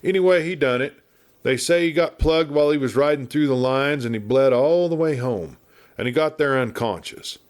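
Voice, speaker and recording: A man's voice: mid-range voice, moderate pace, voice pushed up, close to an everyday voice. A man voicing a garrulous, good-natured but irascible frontier marshal in a storybook, with an American Western drawl and folksy, blustery chatter. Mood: gossipy, animated. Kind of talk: storybook character voice.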